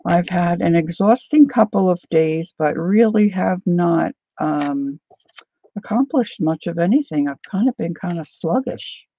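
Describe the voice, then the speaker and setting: A woman chats softly and unhurriedly with viewers as she works at a craft table. Her voice is mellow and a little gravelly. The live stream audio is dry and slightly dull. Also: on the low side, lively intonation, no room sound. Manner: soft, unhurried, chatty, greets viewers. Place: indoor craft table, overhead camera.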